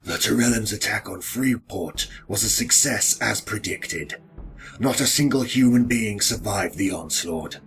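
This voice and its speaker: A man playing a dutiful vampire lieutenant in a fantasy audio drama, giving formal, measured military reports. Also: lowish voice, fairly bright tone, husky, heavily acted. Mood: businesslike.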